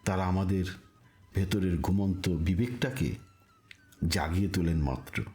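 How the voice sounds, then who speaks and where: A man delivering a scripted spiritual narration in a solemn, deliberate manner, with rhetorical pauses. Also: low-pitched, a little mellow, faint music behind.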